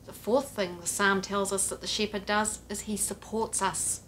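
A woman's voice: medium pitch, flat delivery, moderate pace, fairly bright tone, a little hiss or hum, low-quality recording. A middle-aged woman pastor giving an earnest, direct sermon to camera from her living room, gesturing as she speaks. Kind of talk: home church sermon to camera. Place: living room, seated on a sofa. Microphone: camera at a few feet, head and shoulders framing.

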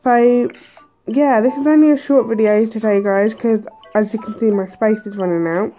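Woman with a cold, bit croaky, mellow low-key voice, rambling through a handheld game with faint music behind. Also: steady tone, moderate pace, low-quality recording. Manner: chatty, hesitant with many ums. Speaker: let's play host. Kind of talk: casual game commentary. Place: indoors at a table.

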